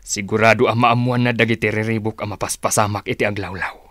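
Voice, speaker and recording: A man acting a cautious, fatherly uncle in a radio drama, giving measured, admonishing advice. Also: on the low side, fairly even intonation, fairly bright tone, no echo, clean studio sound, lightly acted. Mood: wise, warning. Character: cautious, fatherly older uncle advising younger men.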